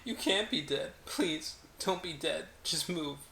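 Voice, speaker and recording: A man's voice: fairly high pitch, animated, unhurried, echoey room, background noise. A man reading the part of a young woman who pleads over her dead lover, in an online table read. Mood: grieving. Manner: pleading, breaking, hushed.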